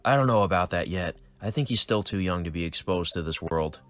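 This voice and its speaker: A man voicing a calm, measured older male mentor. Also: low voice.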